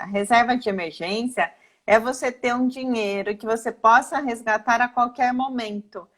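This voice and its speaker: A woman with a Brazilian accent giving an informal, didactic explanation as a financial planner, posing questions and answering them herself. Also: on the high side. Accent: Brazilian Portuguese. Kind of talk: informal explanatory talk in a live online session.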